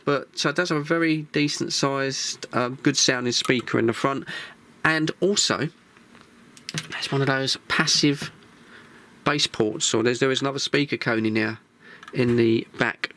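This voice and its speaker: An English man with a bright, forward voice of medium pitch presents a hobby vlog. He talks casually and informally, rambling a little and pausing as he looks for the next word. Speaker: host of a radio hobby channel. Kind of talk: casual vlog chat, gear preview.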